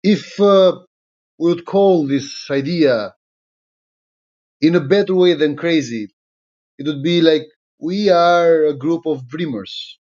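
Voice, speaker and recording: A middle-aged man from Albania, a native Albanian speaker with an Albanian accent, addressing an online audience informally in a halting, deliberate way, repeating phrases for emphasis. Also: on the high side, a little mellow. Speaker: political movement leader. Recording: slightly dull, lo-fi sound.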